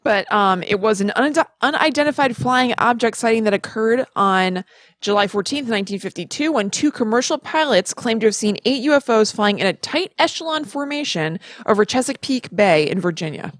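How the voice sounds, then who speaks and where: A woman's voice: a little high, animated, brisk, no room sound, clean studio sound. A woman co-hosting a podcast, chatting casually and informally. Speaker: podcast co-host. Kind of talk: casual chat.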